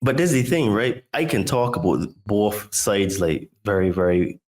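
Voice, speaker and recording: Chatty low-voiced fitness coach guest. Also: male voice, moderate pace, darkish tone. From Barbados. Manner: enthusiastic, chatty, says "dude" and "right?". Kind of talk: casual chat.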